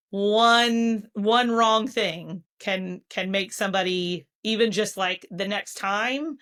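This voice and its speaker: A woman's voice: mid-range voice, a bit bright. A woman speaking as an interview guest, telling candid, earnest stories with many restarts. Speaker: guest, loan originator. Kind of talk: casual interview chat.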